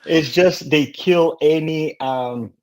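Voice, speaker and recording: Interview guest: mature male, Bulgarian accent, candid storyteller who pauses and searches for words. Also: fairly high pitch, a little mellow. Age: middle-aged or older. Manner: halting, candid. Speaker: guest, company founder.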